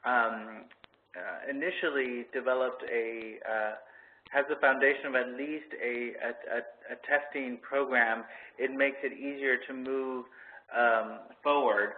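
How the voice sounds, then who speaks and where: A man from the program staff answering a caller's question, halting with many fillers and repeated words. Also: medium pitch, steady tone. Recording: tinny phone line with faint hiss.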